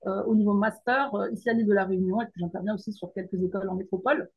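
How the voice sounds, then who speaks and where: A middle-aged woman from the Paris region speaks as an interview guest. She thinks aloud, restarts and pauses often, and her husky voice comes through a dull, muffled remote line. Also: medium pitch, steady tone. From France, Paris region. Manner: thoughtful, hesitant with many fillers and restarts. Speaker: guest, mindset coach and teacher.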